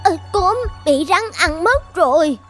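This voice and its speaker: A woman's voice: very high-pitched, expressive, measured pace, fairly bright tone, full character voice. A woman voicing a small, timid young female frog in a childlike, plaintive cartoon voice. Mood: frightened, grieving. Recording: prominent children's music behind.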